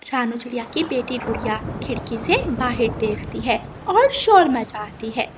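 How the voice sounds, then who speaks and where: Woman narrator for a kids' cartoon, high voice, rain noise behind. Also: animated, a little slow. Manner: clear, storytelling. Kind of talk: scripted children's narration.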